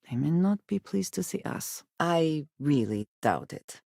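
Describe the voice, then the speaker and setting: A woman voicing a guarded, devout space adventurer and loyal companion in a video game, speaking in formal, measured diction. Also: low-pitched, a little mellow, dry recording, studio quality, heavily acted. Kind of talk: video game character dialogue.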